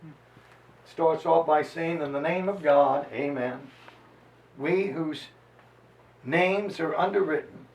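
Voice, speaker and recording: High-pitched man declaiming in an echoey room. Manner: declamatory, solemn, with dramatic pauses and swells. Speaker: preacher giving a dramatic reading. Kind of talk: theatrical dramatized reading of a historical narrative. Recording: roomy echo, distant microphone.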